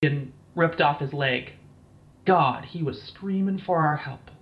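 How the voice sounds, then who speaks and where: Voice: medium pitch, slow pace. An actor playing a shaken, foul-mouthed military team captain in an audio drama, blunt and weary, on a slightly dull recording. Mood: haunted, angry. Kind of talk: dramatised incident interview, audio drama acting.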